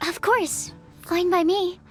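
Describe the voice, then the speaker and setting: A woman's voice: very high voice, steady tone, slow, a bit bright, exaggerated voice. A woman voicing a timid, bookish young female researcher in a video game, hesitant, precise and careful to qualify things. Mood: polite. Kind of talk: animated video game voice acting. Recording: music behind the voice. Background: game music.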